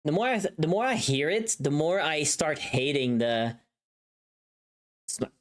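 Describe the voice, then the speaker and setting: A woman's voice: low voice, very animated, measured pace. A woman livestreamer chatting casually and informally while she works, thinking aloud.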